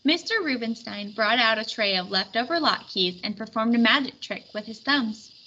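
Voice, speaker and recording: A woman reading a children's picture book aloud with expressive storytelling. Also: fairly high pitch, moderate pace, dull audio, slight background noise. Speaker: storybook reader.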